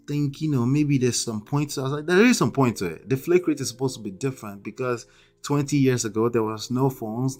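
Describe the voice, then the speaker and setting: A man with a thick Nigerian accent hosts a live stream, making emphatic, lecturing points and often checking in with "okay?" and "right?". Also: medium pitch. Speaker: livestream host.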